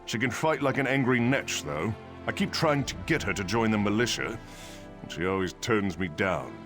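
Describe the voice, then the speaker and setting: Haughty dark elf officer played by a man for a game: low, very animated delivery, brusque and emphatic. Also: moderate pace, music in the background, natural voice. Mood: wry, guarded. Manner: curt, condescending, emphatic. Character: brusque male dark elf guard captain, dutiful, haughty. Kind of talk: video game dialogue acting.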